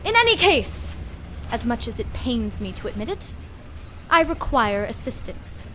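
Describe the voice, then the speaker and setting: A haughty, grandiose woman scientist, played by an actress in a comedic radio play, pitched on the high side. She talks down in wordy, theatrical flourishes, with some noise behind her. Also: very animated, unhurried, sharp tone, heavily acted. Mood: haughty, irritated. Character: arrogant woman mad scientist, condescending and grandiose. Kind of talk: comedic audio drama acting.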